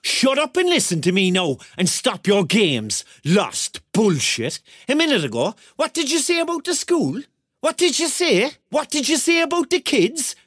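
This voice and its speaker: Defensive Cork man in his thirties, very animated pitch, dry close sound, music behind, radio drama. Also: moderate pace, no room sound, heavily acted. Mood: angry, accusing. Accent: Cork Irish. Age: 30s. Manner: indignant, rambling, repetitive. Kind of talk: radio drama monologue and dialogue.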